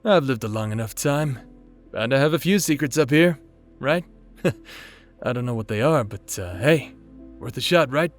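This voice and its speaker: A man's voice: mid-range voice, expressive, moderate pace, voice pushed down, close to an everyday voice. A man performs an older, long-lived fantasy adventurer. He talks in a blunt, casual, sardonic way with a protective streak. Light music plays underneath the audio drama. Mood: apologetic, wry. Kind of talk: fantasy audio roleplay acting.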